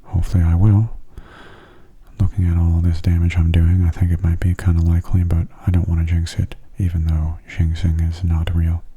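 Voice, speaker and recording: A man giving gentle, soft-spoken ASMR game commentary in a breathy, near-whispered voice, unhurried and close to the microphone. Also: deep voice, completely flat delivery. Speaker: gaming channel host.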